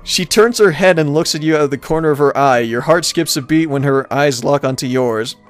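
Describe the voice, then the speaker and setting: A man's voice: on the high side, fairly quick, soft background music. A man hosting a let's play, reading a visual novel aloud and reacting casually as he goes.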